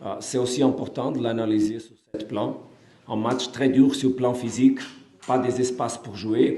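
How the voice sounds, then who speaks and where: A man from Portugal, a football coach, speaking non-native French with a Portuguese accent at a post-match press conference, measured and halting with many fillers and restarts. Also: mid-range voice, dark tone, reverberant.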